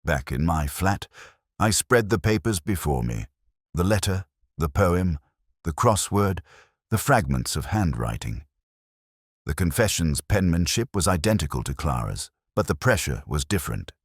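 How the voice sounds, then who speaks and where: A man playing a weary, erudite police inspector, narrating his case in a measured, melancholy, sardonic way as a literary audio drama. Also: very deep voice, breathy edge, no room sound, clean studio sound, heavily acted.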